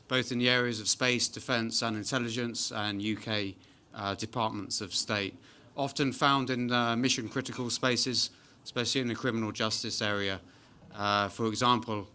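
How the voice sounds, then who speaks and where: A man's voice: on the low side, bright, forceful tone, clear, resonant tone. A man making brief, self-deprecating sponsor remarks at a formal reception. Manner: self-deprecating, lightly joking. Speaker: corporate sponsor executive.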